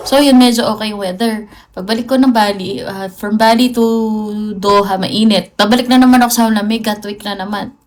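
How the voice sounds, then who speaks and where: A woman's voice: medium pitch, steady tone, a little fast. A Filipino woman chatting casually and playfully on a livestream, mixing in English. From Philippines. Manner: playful, chatty, mixes English into her talk. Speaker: livestream host.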